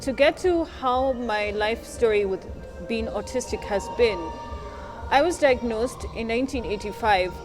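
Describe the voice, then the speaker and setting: A woman from Nairobi, answering interview questions in an articulate, measured and earnest way. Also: on the high side, over music, low-quality recording. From Kenya, Nairobi.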